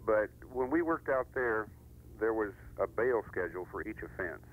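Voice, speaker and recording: A man's voice: on the low side, expressive, narrow, tinny audio. A middle-aged American man explaining things casually and hesitantly as a caller to a radio show. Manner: hesitant, halting. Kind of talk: casual explanatory phone-in talk. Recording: noisy radio phone-in with hum.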